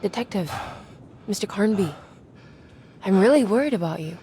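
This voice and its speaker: A woman's voice: medium pitch, lively intonation, normal speed, warm tone, slight background noise, natural voice. Actress as earnest, determined young woman investigator. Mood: concerned, hushed. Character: worried, determined young woman investigating her family's mystery. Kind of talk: video game cutscene acting. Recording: background music under the voice.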